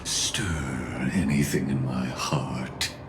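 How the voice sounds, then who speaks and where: A man voicing a cold, courteous villain in a video game, speaking in ornate, formal and deliberate phrases. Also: very deep voice, fairly even intonation, background noise, exaggerated voice. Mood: grandiose, detached. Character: cold, courteous, grandiose male villain, former enemy turned uneasy ally. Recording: echoey, with music.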